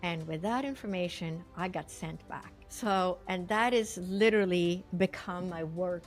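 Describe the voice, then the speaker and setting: A woman's voice: lowish voice, unhurried, faint music behind. An older woman from Sweden, a guest telling her story in a vivid, earnest way with many fillers. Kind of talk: storytelling interview talk.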